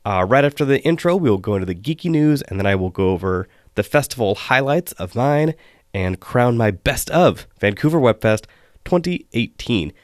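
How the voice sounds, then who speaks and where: A man hosting a solo podcast, hoarse and losing his voice, chatting with wry asides. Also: mid-range voice, expressive, moderate pace, dry recording, clean studio sound. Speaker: podcast host.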